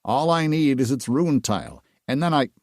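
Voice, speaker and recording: A man's voice: medium pitch, lively intonation, normal speed, darkish tone, no echo, studio quality, voice pushed up, natural voice. A man voicing a stern, impatient male swordsman who speaks in a terse, restrained way. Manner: curt and restrained, terse replies.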